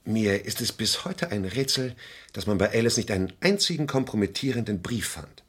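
Radio crime drama: proud wronged suspect, low voice with lively swings, bitter and insistent. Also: male voice, normal speed, slightly rough. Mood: questioning. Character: aggrieved, proud male actor suspected of murder. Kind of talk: German radio crime play acting.

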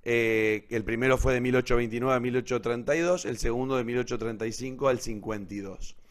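A man's voice: mid-range voice, steady tone, fast. A man with an Argentine accent presenting a radio theatre programme, explaining literature informally and didactically, repeating key points and checking in with short questions.

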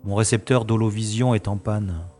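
A man's voice: fairly low pitch, fairly even intonation, moderate pace, mellow, soft background music, close to an everyday voice. A man acting a bored, cynical special agent in a science-fiction audio drama, with sardonic, world-weary complaining. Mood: curious, wry.

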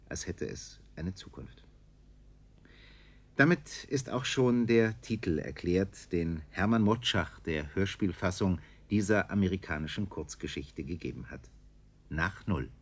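Low-voiced man, formal radio presenter. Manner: measured, formal, literary phrasing. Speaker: radio presenter introducing a play. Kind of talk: scripted spoken introduction.

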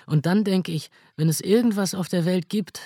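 A man's voice: high voice, moderate pace, warm tone, dry recording, clean studio sound. Weary man narrating a radio drama. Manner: rough, weary inner monologue with coarse words. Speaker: narrator and lead character.

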